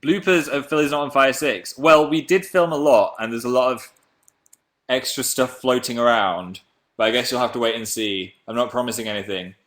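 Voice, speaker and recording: A man in his twenties from England with a deep voice, chatting playfully on a webcam livestream while reading comments. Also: normal speed, fairly bright tone. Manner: playful, jumps between high and low pitch. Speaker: livestream host. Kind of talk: casual live chat reading comments.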